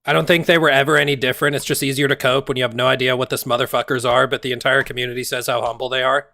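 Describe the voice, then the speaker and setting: Hoarse, gravelly ranting male streamer. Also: medium pitch, level tone, racing, bright, forceful tone, no room sound. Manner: heated, exasperated, profane. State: hoarse, losing his voice. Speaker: game streamer. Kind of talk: casual livestream rant.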